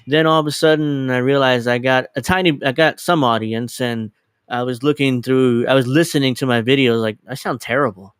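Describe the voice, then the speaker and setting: Smooth-voiced man rambling on a livestream. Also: mid-range voice, brisk, no echo, studio quality. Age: 30s. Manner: rambling, scattered, self-deprecating; casually profane. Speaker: livestream host. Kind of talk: casual livestream chat. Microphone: dedicated microphone.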